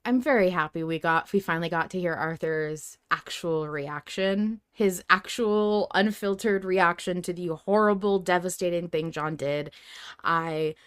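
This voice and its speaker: A woman's voice: lowish voice, unhurried, clean tone, no echo, clean studio sound. A woman co-hosting a podcast, chatting casually in an emphatic, opinionated, sweary way. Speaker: podcast co-host. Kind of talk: casual fan discussion chat.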